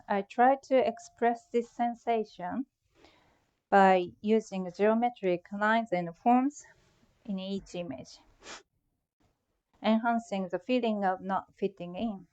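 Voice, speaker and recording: A woman's voice: medium pitch, a little mellow, muffled recording. A middle-aged woman from Japan with a Japanese accent, giving a prepared, reflective talk about her own art. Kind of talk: prepared artist talk presentation.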